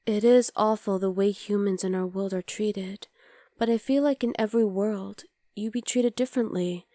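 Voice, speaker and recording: A woman playing an affectionate, playful young demon girlfriend in an intimate ASMR roleplay, speaking softly to the listener. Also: medium pitch, fairly even intonation, normal speed, no echo, clean studio sound, lightly acted. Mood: earnest.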